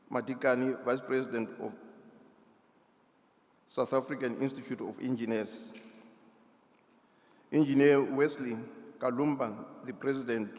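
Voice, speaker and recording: A man at a formal ceremony introducing delegates by name, pausing and stumbling over names and apologizing. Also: mid-range voice, a little mellow. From near the Zimbabwe border. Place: large event hall. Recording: long hall reverb.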